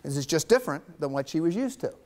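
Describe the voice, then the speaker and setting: A man in his fifties giving a lively guest lecture to college students in a classroom, teasing his audience as he talks into a lapel mic. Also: on the high side, fast. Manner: animated, gestures widely, teasing the audience.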